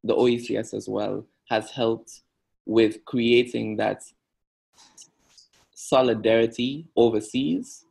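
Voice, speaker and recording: Young man, Antiguan accent, husky creaky voice, reflective podcast guest. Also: mid-range voice, steady tone, takes it slowly, pauses often, darkish tone, poor audio. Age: young adult. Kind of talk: thoughtful interview talk.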